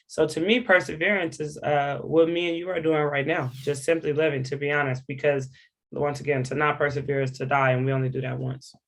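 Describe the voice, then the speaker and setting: An American woman from Hawaii speaking candidly and informally as an interview guest, earnest and emphatic, repeating words for stress. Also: low voice. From Hawaii, United States. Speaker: interview guest, education program director.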